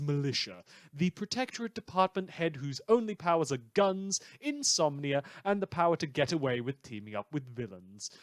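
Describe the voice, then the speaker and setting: A man's voice: mid-range voice, no room sound, natural voice. A man playing a sarcastic radio news host in a superhero world, delivering scripted comedy news with theatrical dry asides.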